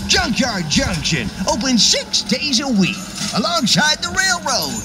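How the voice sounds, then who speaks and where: High, swooping junkyard owner. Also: male voice, wildly swooping pitch, measured pace, heavily acted. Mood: jovial sales pitch. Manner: drawling, exaggerated, folksy. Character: folksy eager junkyard owner from the American countryside. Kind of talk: hammy radio commercial. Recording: loud music behind the voice.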